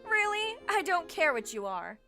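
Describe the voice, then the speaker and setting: A woman's voice: extremely high pitch, sing-song, normal speed, sharp tone, faint music behind, exaggerated voice. A woman voicing a haughty, easily offended schoolgirl in a visual novel game, curt and scornful.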